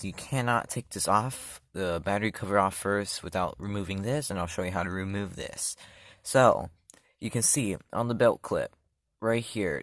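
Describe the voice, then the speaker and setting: A man's voice: fairly low pitch, dry recording, studio quality. A man hosting his own channel, walking through a gadget setup step by step in a loose, chatty way and often trailing off with "so, yeah.". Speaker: channel host reviewing a gadget. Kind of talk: casual step-by-step product demo.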